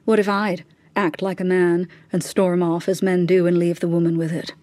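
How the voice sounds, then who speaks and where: A woman's voice: on the low side, little pitch movement, normal speed, dark tone, dry recording, close to an everyday voice. An actress playing a middle-aged mother in a radio drama, ruthless and scheming in her son's defence, with insistent, scornful, clipped questions. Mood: quietly cutting.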